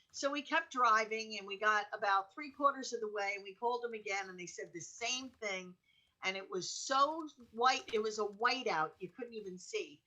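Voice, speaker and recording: Bright, high-voiced American woman telling stories. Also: poor audio. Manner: chatty, exclamatory storytelling. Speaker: talk show host. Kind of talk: casual chat, animated personal anecdotes.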